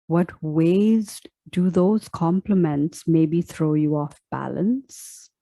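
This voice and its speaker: A woman leading a guided meditation as a teacher, speaking in a soft, deliberate, instructional way. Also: on the low side, gravelly, no echo.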